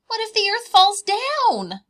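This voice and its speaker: A woman's voice: extremely high pitch, wildly swooping pitch, takes it slowly, pushed and bright, voice pushed up, heavily acted. A woman voicing curious, timid young polar bear cubs with wide-eyed, eager questioning for a storybook. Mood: anxious curiosity.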